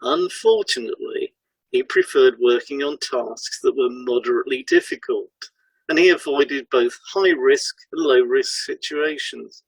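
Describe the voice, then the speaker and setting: A man's voice: mid-range voice, big pitch swings, measured pace, vocal fry, husky, no room sound, low-quality recording. A man co-hosting a podcast, recounting a client case in a scripted dialogue. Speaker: podcast co-host, hypnotherapist.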